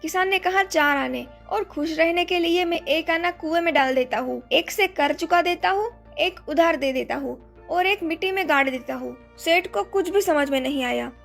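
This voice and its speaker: A woman's voice: very high-pitched, normal speed, gravelly, a bit bright, soft background music. A woman reading scripted moral stories for children as a narrator. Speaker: story narrator.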